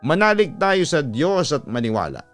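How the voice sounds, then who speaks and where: A man's voice: a little high, very animated, normal speed, a bit bright, faint music behind, pitched above the performer's own voice. A man voicing a solemn, devout priest who urgently calls for prayer against spirits in a horror story. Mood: solemn, urging.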